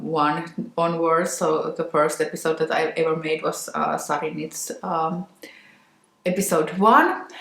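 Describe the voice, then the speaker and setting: A woman from Finland with a Finnish accent, talking casually to camera as a knitting podcast host, pausing often with ums and restarts. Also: fairly low pitch, a bit bright, roomy sound, rough recording. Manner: hesitant, many ums and restarts. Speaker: knitwear designer and podcast host.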